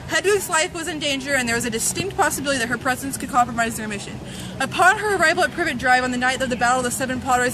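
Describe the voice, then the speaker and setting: A woman giving a playful, mock-serious dramatic reading of a humorous essay. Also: sits high. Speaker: reader of a fan zine. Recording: noisy outdoor urban recording with traffic.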